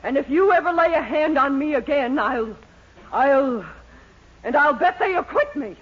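An American woman playing a frosty, indignant middle-aged mother in a 1940s radio comedy, haughty and sharp-tongued. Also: high-pitched, animated, normal speed, slight background noise. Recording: muffled 1940s radio recording.

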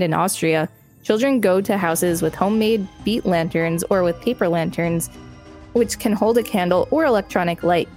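A woman's voice: on the low side, a little mellow. A woman co-hosting a podcast, reading an article aloud and chatting casually. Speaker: podcast co-host.

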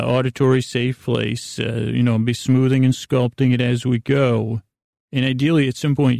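A man hosting a sleep podcast, giving a gentle, soothing, meandering bedtime monologue in a halting way full of trailing asides. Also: medium pitch, creaky, dry recording, studio quality.

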